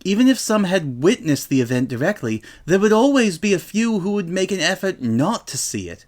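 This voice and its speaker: A genteel lady unicorn from a cartoon story, acted by a man. The voice is fairly high, with prim, precise phrasing and a formal, refined manner. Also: very animated, fairly quick, a little mellow. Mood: soothing, genteel.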